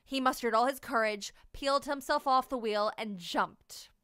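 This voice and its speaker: A woman narrates a picture book for children in a fairly high, bright voice. Her delivery is expressive and dramatic, stretching key words, and the recording is close and dry. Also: normal speed, dry recording. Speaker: children's story reader and channel host.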